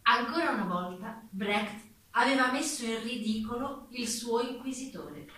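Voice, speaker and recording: A woman's voice: fairly high pitch, expressive, measured pace, sharp tone. A woman giving scripted theatrical narration with an emphatic, commenting delivery. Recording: very echoey, distant, faint noise.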